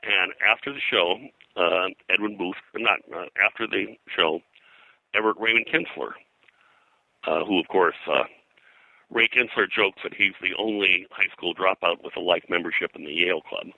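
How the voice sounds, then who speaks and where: Older male interviewee, low-pitched and forceful, digressive raconteur reeling off names and anecdotes. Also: moderate pace, vocal fry, no echo, low-quality recording. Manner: digressive, name-dropping storyteller. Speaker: pop culture historian and guest. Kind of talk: rambling anecdotal interview talk.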